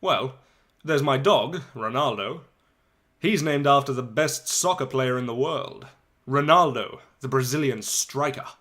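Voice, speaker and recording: Storybook narrator: eager, wry eleven-year-old boy played by an adult man, mid-pitched, conversational, full of asides and dramatic pauses. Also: a bit bright, close to an everyday voice. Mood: proud, excited. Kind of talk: dramatic first-person storybook reading.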